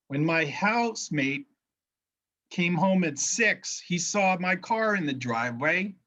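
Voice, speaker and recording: A minister with a faint New Jersey accent preaches in a slightly raised voice, slow and deliberate, now and then stumbling over a word and starting it again. The recording is a little dull and lo-fi. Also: male voice, a little high, bright, forceful tone. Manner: slow, careful speech after a brain injury, occasional word restarts. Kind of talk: sermon.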